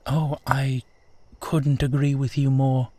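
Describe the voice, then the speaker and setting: A courtly immortal vampire, played by a man, speaks very slowly in a mid-pitched voice. He is gentle and wistful, with formal, tender phrasing made for an intimate audio roleplay. The sound is close and dry. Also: flat delivery, dry recording. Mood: wistful, enchanted. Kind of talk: intimate scripted roleplay monologue addressed to listener.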